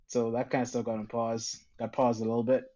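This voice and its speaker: A man talks as a guest filmmaker on a podcast. His voice is mellow and warm, and he speaks in a measured, slightly formal way with fillers. The recording is a little dull and lo-fi. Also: mid-range voice, flat delivery. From Bahamas. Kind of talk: casual podcast chat.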